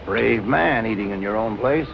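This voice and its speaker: Gravelly, wry noir police captain. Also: male voice, on the low side, normal speed, dull audio. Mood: wry, probing. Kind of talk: 1940s film noir acting. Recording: old film soundtrack, music behind.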